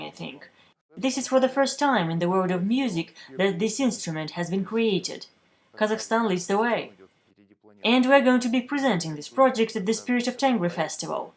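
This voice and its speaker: A woman reading a translated news voice-over at a measured, steady pace, recorded in a booth. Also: mid-range voice. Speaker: voice-over interpreter for a news feature.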